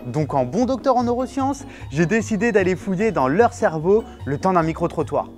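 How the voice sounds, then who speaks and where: A man's voice: high-pitched, normal speed, faint music behind. A man presenting a popular neuroscience segment, narrating playfully and asking passers-by questions. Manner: lively, explanatory, playful. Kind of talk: narration and street interviews.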